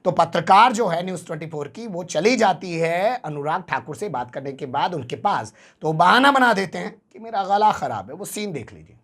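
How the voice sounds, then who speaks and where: A man's voice: sits high, expressive, normal speed, hardly any pauses, a bit bright. A man presenting news commentary, sarcastic and full of rhetorical questions to the viewer.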